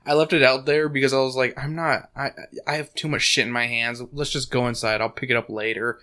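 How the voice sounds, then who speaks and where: A slightly hoarse man with a bright, edgy tone hosts a casual book podcast. He rambles, leans on fillers and laughs often, close on a desk microphone at home. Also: mid-range voice, fairly even intonation, a little fast. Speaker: podcast host. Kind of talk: casual chat and book recap. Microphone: desk condenser mic.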